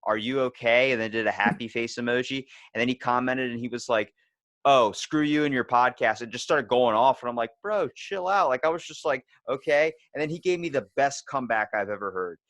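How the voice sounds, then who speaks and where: A man's voice: medium pitch, fairly quick, fairly bright tone, no room sound. A man hosting a podcast in casual chat, rambling along in slang with some swearing. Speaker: podcast host.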